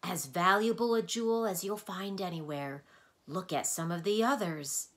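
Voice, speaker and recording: A woman reading a storybook aloud and voicing a proud, booming male wizard of numbers, shouting loudly and then dropping into a confiding tone. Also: normal speed, natural voice. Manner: bellowing, grandiose, then suddenly confiding.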